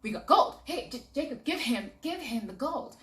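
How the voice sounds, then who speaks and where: A woman voicing an excitable, easily scared young man in a told story, talking high, loud and pleading. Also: moderate pace. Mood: panicked.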